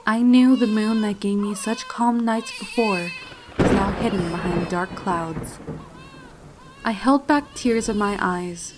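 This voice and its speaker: A woman's voice: mid-range voice, very animated, a little slow. Woman as a frightened pony filly over noisy effects. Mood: fearful. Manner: solemn, dramatic, emotive delivery. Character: frightened little pony filly, tearful and trembling. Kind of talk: first-person dramatic fanfiction horror narration. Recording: clear noise and sound effects behind. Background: storm and animal sound effects, noise.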